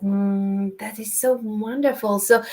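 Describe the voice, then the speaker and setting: Slow-speaking enthusiastic woman host. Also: mid-range voice, fairly even intonation, warm tone, faint music behind. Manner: enthusiastic, halting with fillers. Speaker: show host. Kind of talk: live online show hosting.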